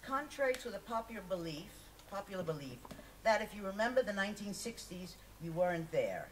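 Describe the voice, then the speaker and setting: A woman's voice: mid-range voice, sing-song, a little fast. A woman reading a memoir aloud to an audience at a live event. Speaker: reader at a poetry festival. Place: live festival reading, picked up from a distance. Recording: distant mic, noisy live event recording.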